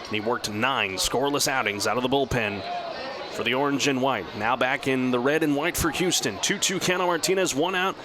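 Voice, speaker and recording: A man working as a radio play-by-play announcer, giving live baseball commentary in a measured, descriptive way that lifts with the action. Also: mid-range voice. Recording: clear outdoor ballpark background noise. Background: outdoor stadium ambience.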